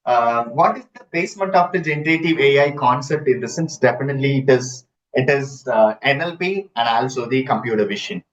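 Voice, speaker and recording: A man giving a live online lecture, halting and repeating himself as he checks in with listeners, using his computer's built-in mic. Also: fairly high pitch. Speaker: instructor. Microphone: built-in computer mic, no headset. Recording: dull lo-fi computer audio.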